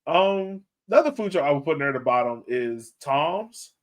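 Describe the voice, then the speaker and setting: A man's voice: on the high side, very animated, normal speed. A man hosting a podcast in casual chat, with an informal, slangy and emphatic way of talking. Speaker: podcast host reading listener questions.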